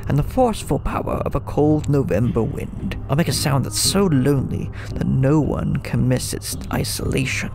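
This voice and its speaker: A man's voice: medium pitch, gravelly. A man playing a brooding, poetic old lighthouse keeper in an audio drama, musing like a storyteller. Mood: melancholy. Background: music.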